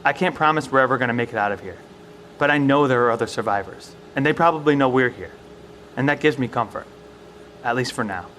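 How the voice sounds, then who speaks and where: A man playing an earnest professor in a survival bunker, explaining things in a measured, careful way in an audio drama. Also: medium pitch, fairly bright tone, a little hiss or hum, close to an everyday voice. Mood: cautiously hopeful. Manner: explanatory, hesitant, reasoning.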